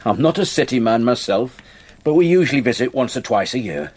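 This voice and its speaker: Actor as husky, bluff countryman. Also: mid-range voice, lively intonation, a little fast, darkish tone, close to an everyday voice. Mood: amused, friendly. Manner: plain-spoken, gruffly kind. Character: kindly, plain-spoken country squire, bluff and hospitable. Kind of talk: radio drama dialogue.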